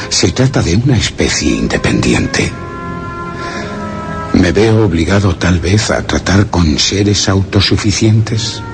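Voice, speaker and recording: A man's voice: deep voice, full character voice. An actor playing a solemn, wondering explorer who narrates his journal in a radio drama, grave and theatrical. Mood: pondering, awed. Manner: grave, literary, theatrical, with rhetorical questions. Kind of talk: Spanish radio drama suspense monologue.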